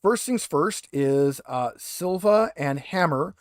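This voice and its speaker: A man's voice: on the high side, expressive, slow pace, no echo, clean studio sound. A man speaking casually as the game master of an online tabletop roleplaying game. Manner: casual, conversational.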